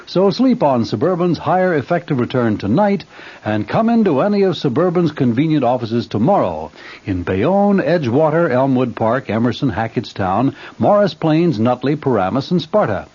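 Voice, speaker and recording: A man's voice: medium pitch, big pitch swings, normal speed, darkish tone, breathy edge. A man reading a scripted vintage radio commercial as an announcer. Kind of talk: scripted vintage bank advertisement read. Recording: muffled old radio broadcast.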